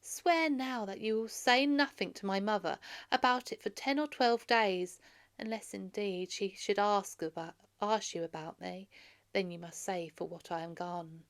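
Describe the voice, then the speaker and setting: A woman's voice: mid-range voice, measured pace, lightly acted. A woman voicing a proud, defiant young prince who declaims earnestly in a storybook reading. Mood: resolute.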